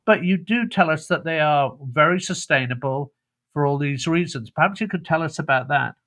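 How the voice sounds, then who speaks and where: A man's voice: a little high, lively intonation, normal speed, a bit bright. A British man hosting a podcast interview, asking long, rambling questions full of restarts. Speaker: podcast host. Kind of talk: conversational interview.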